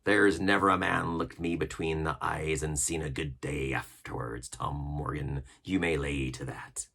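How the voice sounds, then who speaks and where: A man's voice: extremely low pitch, steady tone, a little slow, gravelly, a bit bright, heavily acted. A man voicing a fierce, swaggering old one-legged pirate cook who commands and threatens in a storybook reading. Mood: menacing. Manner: menacing, swaggering, uses old nautical slang. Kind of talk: storybook character voice.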